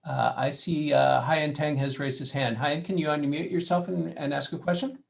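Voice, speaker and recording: A man's voice: on the high side, steady tone, moderate pace, gravelly. A man chairing an online meeting and moderating the question session. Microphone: online meeting microphone.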